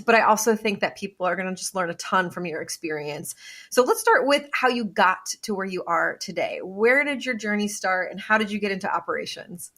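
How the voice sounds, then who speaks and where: A woman's voice: medium pitch, fairly bright tone. A young woman hosting a podcast, chatty and enthusiastic, who laughs easily. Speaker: podcast host. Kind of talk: podcast interview.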